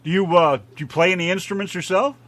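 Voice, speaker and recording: A man's voice: sits high, fairly even intonation, fast, fairly bright tone. A man hosting a casual interview in a laid-back, joking way. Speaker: interview host.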